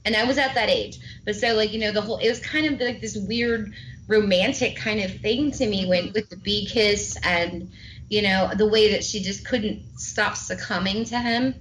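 A woman with a high-pitched voice hosting a casual podcast chat, talking enthusiastically and rambling with many fillers. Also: moderate pace, a bit bright, a little hiss or hum, rough recording. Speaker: podcast host.

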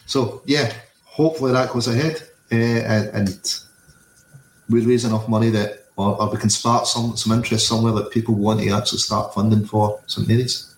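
Give me a rambling Scottish co-host in a roomy space with faint background noise. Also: male voice, fairly low pitch, steady tone, moderate pace. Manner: rambling, repeats words, many you-knows. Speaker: podcast co-host. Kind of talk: casual football podcast chat. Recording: echoey room with faint ambience.

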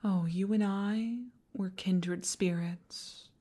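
A woman playing an obsessive older female boss in an intimate audio roleplay, coaxing with condescending sweetness and slipping into breathy half-whispers. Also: mid-range voice, steady tone, takes it slowly, dark tone. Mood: possessive, obsessive.

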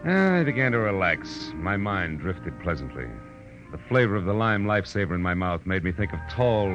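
An American actor playing a hard-boiled, wisecracking private eye with wry, clipped delivery in a 1940s radio drama. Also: male voice, sits low. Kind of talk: 1940s radio detective acting, first-person narration. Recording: muffled old radio recording, music behind.

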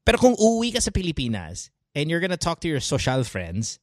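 Animated host, lively pitch swings. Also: male voice, quick pace, no echo, clean studio sound. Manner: animated, laughs a lot, mixes Tagalog into English. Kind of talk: casual call-in talk show.

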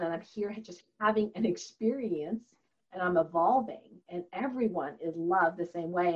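Chatty woman, first language Chinese. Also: lowish voice. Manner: earnest and chatty, laughs often, many fillers. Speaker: guest, holistic doctor and author. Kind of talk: conversational interview answer. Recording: slightly dull, lo-fi audio.

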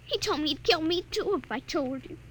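A woman's voice: very high voice, expressive, a little fast, a little hiss or hum. An actress voicing a frightened, tearful little girl in a 1940s radio drama. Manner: timid, tearful.

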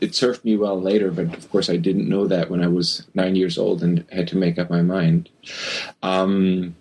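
Man, very low voice, husky, hesitant, author being interviewed. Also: a little mellow. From Germany. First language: Swedish. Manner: hesitant, many ums and restarts. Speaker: guest author. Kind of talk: interview answers.

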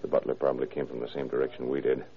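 An actor playing a shrewd, commanding police detective in an old-time radio drama, with a dry, clipped, authoritative delivery. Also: male voice, very deep voice, little pitch movement, very fast. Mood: hushed, intent. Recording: muffled, narrow-band vintage radio recording.

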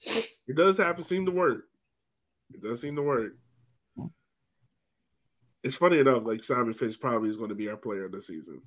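A man hosting a sports podcast, animated and laughing as he talks. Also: medium pitch, normal speed, pauses often. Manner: animated, laughs while talking. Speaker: podcast host. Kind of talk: casual sports chat.

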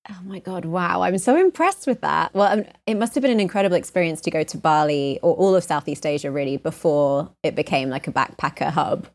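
A woman's voice: sits low, fairly quick, hardly any pauses. Upbeat woman hosting a podcast. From United Kingdom. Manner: upbeat, laughs often. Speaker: podcast host. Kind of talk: travel podcast interview.

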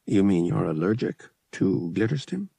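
Man as a casual, slangy human pilot, gravelly. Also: deep voice, lively intonation, moderate pace, dark tone, no echo, heavily acted. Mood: sympathetic, casual. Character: young human male pilot, cocky, sympathetic, slangy.

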